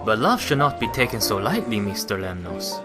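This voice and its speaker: A man playing a courteous, earnest Italian gentleman passenger in a radio play, speaking formally and with measured politeness. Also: on the low side, big pitch swings. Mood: earnest reproach. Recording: music bed under dialogue. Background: music.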